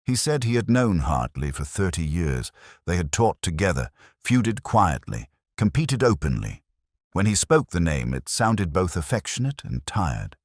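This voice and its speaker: A man narrating a detective audio drama in the first person as a melancholy, cerebral inspector, in a measured, literary, brooding way. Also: low voice, very animated, dry recording, studio quality. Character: melancholy, cerebral older male police inspector.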